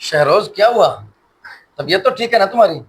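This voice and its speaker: A man's voice: high-pitched, lively intonation, a little fast, low-quality recording. A man playing a dutiful, anxious and deferential elder son in a moral short drama. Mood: alarmed. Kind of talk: Urdu moral short-film acting.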